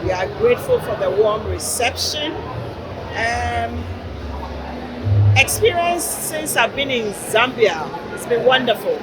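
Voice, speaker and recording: A woman from Ghana talks as an interview guest, earnest and warm, pausing on fillers. Her voice sits fairly high. Loud music and a crowd fill the background. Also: animated, low-quality recording. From Ghana, Volta region. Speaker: guest, kente weavers union director. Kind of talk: interview conversation.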